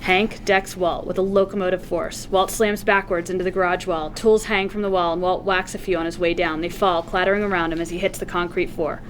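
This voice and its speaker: A woman with a fairly low voice reads stage directions as scripted narration. Clear background noise sits behind her, as in a room recording of a table read. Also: fairly even intonation, brisk, few pauses.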